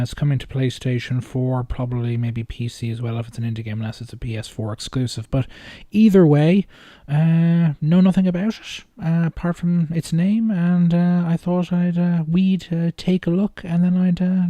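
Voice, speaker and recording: A man giving casual, off-the-cuff video commentary in a hesitant, rambling way with halting phrasing. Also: a little high, dark tone, no room sound. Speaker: video host reacting to game trailers.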